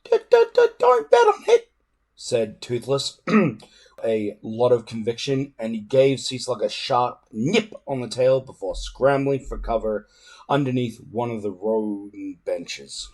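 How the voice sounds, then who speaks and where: A man narrating a children's adventure book aloud in an expressive, dramatic way. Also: mid-range voice, slow pace. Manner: dramatic, animated. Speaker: storybook reader.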